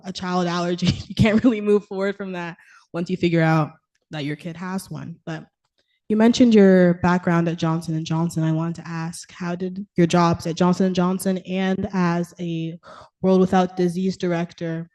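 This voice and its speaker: A woman's voice: on the low side, little pitch movement, moderate pace, dark tone. A woman interviewing a founder, asking her questions in a casual way with words like "cool" and "like.".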